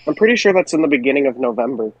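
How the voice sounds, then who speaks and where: A man's voice: medium pitch, very animated, very fast, no room sound. A man co-hosting a podcast, chatting casually about pro wrestling on a dull, lo-fi mic. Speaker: podcast co-host.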